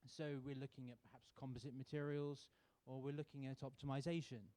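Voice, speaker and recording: A man from the UK giving a sponsor's welcome speech at a student contest, relaxed and halting with many fillers. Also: mid-range voice, no echo. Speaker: sponsor representative. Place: university auditorium.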